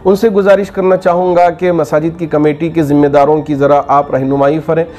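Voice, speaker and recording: A man, a cleric answering questions in a formal, measured and earnest way. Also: a little high, little pitch movement, a little mellow.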